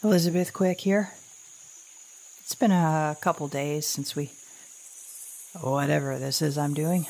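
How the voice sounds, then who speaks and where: A weary woman survivor talks into her audio-drama diary in a low, gravelly voice. She is anxious and wry, rambling haltingly and correcting herself as she goes. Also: steady tone, darkish tone, slight background noise. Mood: nervous, determined. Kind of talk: naturalistic audio drama monologue.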